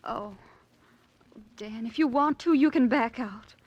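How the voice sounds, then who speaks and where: Pleading young female heiress, highish breathy voice, hesitant, vintage radio melodrama. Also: slow, no room sound, lo-fi sound. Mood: anxious. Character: anxious, pleading young heiress engaged in secret. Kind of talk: 1940s radio drama acting.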